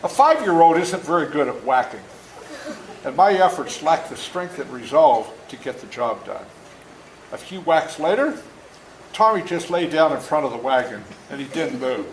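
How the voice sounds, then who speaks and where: An older man reads his own story aloud to children in an animated, folksy way, stopping for asides to explain things. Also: a little high, normal speed. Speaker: author reading his own book to schoolchildren. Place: indoor room at a school. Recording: echoey room with faint background noise.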